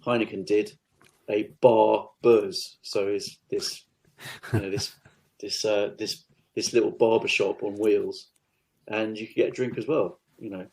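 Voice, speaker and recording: A man hosting a podcast interview, agreeing keenly and thinking out loud. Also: lowish voice, level tone, unhurried, creaky, low-quality recording. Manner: enthusiastic, agreeable, thinks aloud. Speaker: podcast host. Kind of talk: casual interview chat.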